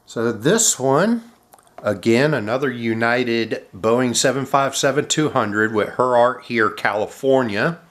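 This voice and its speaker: Slow-talking man with a stuffy nasal cold. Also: medium pitch, lively intonation, a bit bright. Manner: halting, many uh fillers and throat clearing. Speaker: model airplane collector. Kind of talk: casual unboxing narration.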